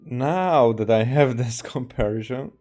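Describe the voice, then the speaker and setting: A man doing a let's play, reading game dialogue aloud in a halting way and adding comments. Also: medium pitch, warm tone, faint music behind. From Hungary. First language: Hungarian. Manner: halting, stumbling over lines while reading. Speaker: game streamer.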